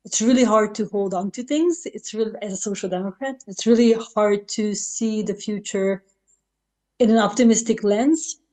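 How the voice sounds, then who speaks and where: Hungarian woman politician, mellow tone, earnest and halting, heard over a video call. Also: medium pitch. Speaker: local politician. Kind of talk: panel speech.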